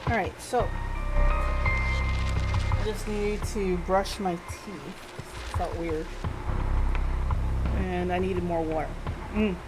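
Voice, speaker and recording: A woman's voice: lowish voice, steady tone, very slow, long pauses, rough recording. A woman livestreaming a game in a casual, chatty way, thinking aloud and reading on-screen text. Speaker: live game streamer. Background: music.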